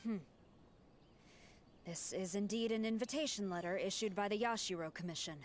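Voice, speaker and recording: A woman's voice: medium pitch, normal speed, a little hiss or hum, close to an everyday voice. A woman voicing a stern female officer who speaks in a clipped, formal way.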